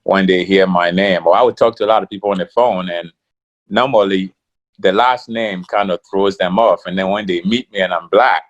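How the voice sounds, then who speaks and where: A middle-aged man from Ghana, a guest in an interview, talking in a relaxed way with many fillers. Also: sits low, moderate pace, lo-fi sound. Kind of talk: casual interview talk.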